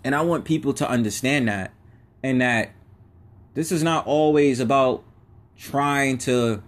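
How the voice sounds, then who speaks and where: A man's voice: mid-range voice, a little slow. A man hosting his own podcast, giving a casual, preachy motivational monologue in everyday slang. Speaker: podcast host.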